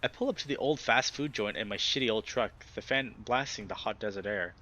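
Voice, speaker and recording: A man's voice: on the low side, brisk. Slightly muffled man, let's play host. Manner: expressive reactions, swears casually. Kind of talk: reading a visual novel aloud with casual commentary.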